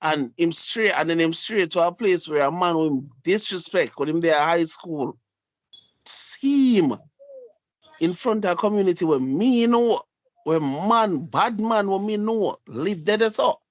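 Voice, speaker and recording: A man with a thick Jamaican accent tells a story in casual patois, circling back and repeating himself. His voice sits a little high. The sound is dry and close, with no room echo. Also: expressive, measured pace. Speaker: podcast co-host. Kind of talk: casual chat.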